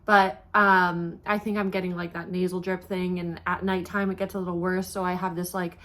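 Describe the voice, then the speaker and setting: A woman's voice: fairly low pitch, normal speed. Young woman vlogger, stuffy-nosed, easygoing chatter full of fillers. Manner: chatty, relaxed, many ums and likes. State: congested, stuffy nose. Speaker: pregnancy vlogger. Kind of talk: casual talk to camera.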